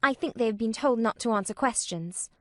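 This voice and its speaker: Woman narrator as a ten-year-old girl, high, hesitant and careful. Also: brisk, no echo, studio quality, natural voice. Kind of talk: audiobook character voice.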